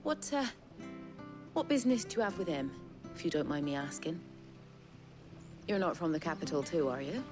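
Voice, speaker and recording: Friendly village woman, bit low, chatty game npc, music behind. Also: lively intonation, normal speed, pauses often. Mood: curious, welcoming. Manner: folksy, chatty. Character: friendly, inquisitive village woman, nostalgic and weary. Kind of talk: video game cutscene acting.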